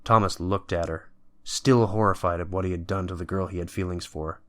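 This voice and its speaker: A man's voice: sits low, fairly even intonation, a little fast, a bit bright, dry recording. A man narrating a scripted dramatic story as the narrator of an audio drama.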